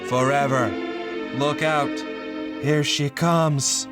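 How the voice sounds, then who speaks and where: Horror comic villain, acted by a man: slow, menacing, gloating, over loud music. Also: a little high, fairly even intonation. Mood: gloating, ominous.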